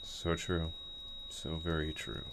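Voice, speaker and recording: An actor playing a desperate, vengeful son framed for murder in an overwrought radio thriller style. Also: male voice, extremely low pitch, completely flat delivery, slow, background noise, heavily acted. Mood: resigned. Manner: overwrought, stammering under pressure.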